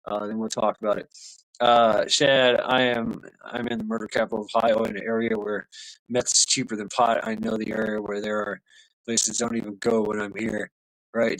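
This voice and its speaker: A man's voice: mid-range voice, fairly bright tone, no echo, rough recording. A man hosting a casual livestream, rambling in a tipsy way, full of laughs and fillers. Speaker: livestream host.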